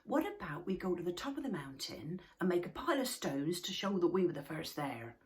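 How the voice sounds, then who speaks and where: A woman voicing a calm, adventurous young male wanderer in a storybook reading, in an easy, encouraging way. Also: lowish voice, brisk. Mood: easygoing.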